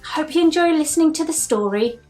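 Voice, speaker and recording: A woman hosting a children's story time, reading aloud in a deliberate, teacherly way and putting questions to young listeners. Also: sits high, lively intonation, a little mellow, soft background music.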